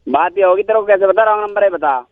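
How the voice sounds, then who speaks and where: A man's voice: high-pitched, fast talker, no echo. A man answering a phone call, growing irritated and blunt with the caller. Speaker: prank call target. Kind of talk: heated phone argument.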